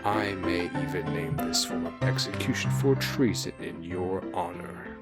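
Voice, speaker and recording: A man's voice: sits low, unhurried. A man voicing a proud, menacing fantasy king with a pompous, formal, imperious manner. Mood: brooding menace. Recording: music bed behind the voice.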